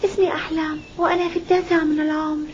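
A woman's voice: high-pitched, level tone, very fast, highs cut off, slight background noise, natural voice. A woman voicing an earnest, polite young girl in a cartoon, sweet and plaintive. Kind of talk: cartoon dub acting.

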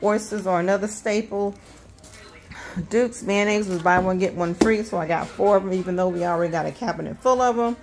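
Woman host, middle-aged, casual budget vlogger; quick, chatty, filler-heavy delivery into a phone at her kitchen counter. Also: medium pitch, background noise. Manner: chatty, many ums, talks fast. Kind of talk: casual grocery haul vlog. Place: indoors at a home kitchen counter. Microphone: handheld phone filming items.

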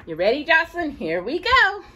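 Animated, bright-voiced woman reading a children's story. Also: high-pitched. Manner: expressive, deliberate, with emphatic word stresses. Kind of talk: animated read-aloud of a picture book to a child. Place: small indoor room with bookshelves.

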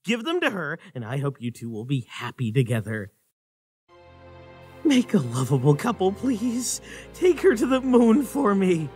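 A man's voice: high-pitched, very animated, unhurried, a little mellow. Guy doing a shy jittery young hedgehog fanboy, cartoon dub, voice jumps all over, music behind. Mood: panicked, overexcited. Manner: flustered, jittery, overexcited. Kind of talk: comic dub voice acting.

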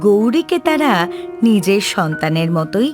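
A woman's voice: medium pitch, sing-song, moderate pace. A woman narrating a scripted folk tale for a cartoon as its storyteller. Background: music.

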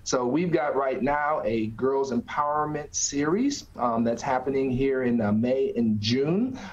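A man's voice: mid-range voice, animated, a little slow. A middle-aged man, a nonprofit director, answering interview questions conversationally over a video call from an office. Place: small office, indoors. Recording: slightly dull video-call audio.

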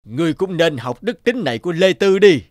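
Magnanimous cartoon king: grand delivery, lively pitch swings. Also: brisk, a little hiss or hum. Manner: grand, declamatory. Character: stern but magnanimous grown king. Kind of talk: cartoon voice acting.

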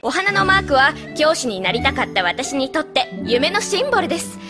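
A woman's voice: high voice, big pitch swings, fairly quick, loud music behind the voice. A woman voicing a kindly, earnest schoolteacher pony in a dubbed cartoon, explaining politely as if to a class. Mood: gentle, instructive.

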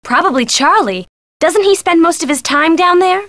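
A woman's voice: extremely high pitch, expressive, moderate pace, a bit bright, no room sound, exaggerated voice. An actress playing a perky, playful young friend of a girl detective, giving eager, chummy hints in a video game.

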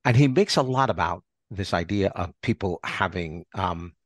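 A man hosting an arts podcast, talking in a halting, rambling way and circling back over his phrases. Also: sits low, animated, no echo, clean studio sound. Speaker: podcast host and interviewer. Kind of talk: conversational arts interview.

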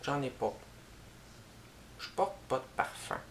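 A young woman with a Québécois French accent, chatting casually to camera as she answers tag questions, rambling and pausing on fillers. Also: very deep voice, animated, a little hiss or hum. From Quebec. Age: young adult. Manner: rambling and unhurried, with many filler pauses. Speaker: YouTuber. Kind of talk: casual tag video chat. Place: small room with a bookshelf behind her. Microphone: camera in front at head-and-shoulders framing.

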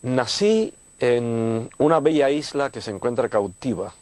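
Older man from cuba talking church stuff on tv, warm and thankful, dry studio mic. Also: medium pitch, animated, unhurried, no room sound. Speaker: church leader. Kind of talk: studio interview. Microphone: lapel.

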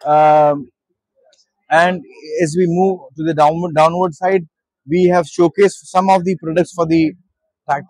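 Indian male exec, 30s, husky higher voice, hesitant product walkthrough at a busy expo stand. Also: a little mellow, no echo, rough recording. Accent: Indian English. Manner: hesitant, with many fillers and restarts. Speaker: managing director at a trade show. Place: busy exhibition hall stall.

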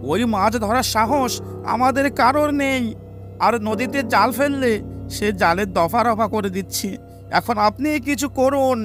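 A very high, imploring voice of a frightened villager. The delivery is exaggerated fairy-tale cartoon pleading, with clear music underneath. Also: male voice, moderate pace, fairly bright tone. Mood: alarmed. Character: pleading, frightened villager petitioning his king. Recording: music behind the voice.

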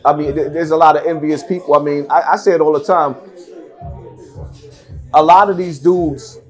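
A man's voice: a little high, steady tone, moderate pace, faint music behind. A middle-aged man giving blunt, emphatic interview answers, repeating phrases for stress. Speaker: podcast guest. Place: seated at table before branded backdrop, indoors.

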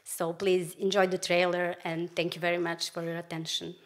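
A woman's voice: fairly low pitch, monotone, normal speed, slight background noise. A woman in her thirties with a Serbian accent, a film producer giving a prepared presentation at a stage microphone. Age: 30s. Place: large indoor festival stage. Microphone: microphone on a stand.

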